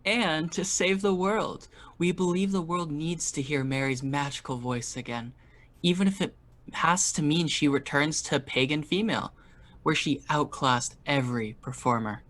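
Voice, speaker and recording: A man's voice: a little high, normal speed, fairly bright tone, lightly acted. A man playing an earnest, devoted male superfan in a comedic audio drama. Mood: fervent.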